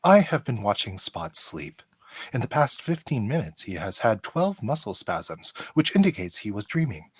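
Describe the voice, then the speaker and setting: A man playing a polite, curious android officer in an amateur radio play, formal and measured, with a husky voice. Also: lowish voice, big pitch swings, no room sound.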